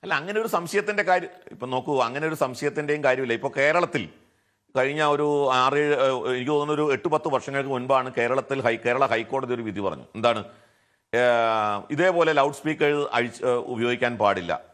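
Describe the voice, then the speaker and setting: A man's voice: medium pitch, fairly quick, a bit bright. A man with a Malayali accent arguing his case as a television debate panelist, often posing rhetorical questions. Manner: argumentative, poses rhetorical questions.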